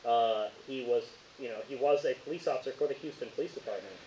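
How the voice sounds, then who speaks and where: A man playing a helpful, puzzled police officer on a phone call in an improvised tabletop game, in an everyday halting voice. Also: medium pitch, dark tone, distant mic, lightly acted. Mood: grave, informative. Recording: echoey room, muffled, hissy.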